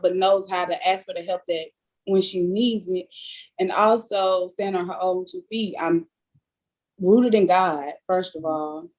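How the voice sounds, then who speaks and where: A woman in her twenties speaking sincerely on a casual podcast, first hesitant, then quick and determined. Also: mid-range voice, a little mellow, poor audio. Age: 20s. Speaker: podcast co-host.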